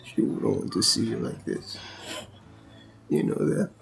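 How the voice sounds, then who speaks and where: Sad pleading husband in a drama, slow n halting, pitch moves around a lot. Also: male voice, huge pitch swings, gravelly, exaggerated voice. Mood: tender pleading. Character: grieving, guilt-ridden husband, gentle and pleading. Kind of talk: screen melodrama acting.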